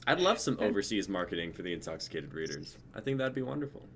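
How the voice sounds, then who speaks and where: A young man with a deep voice and lively, moving intonation chats in an encouraging way. The recording has clear background noise. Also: fast talker. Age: young adult. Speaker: co-host of an online readers' stream. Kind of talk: casual group chat.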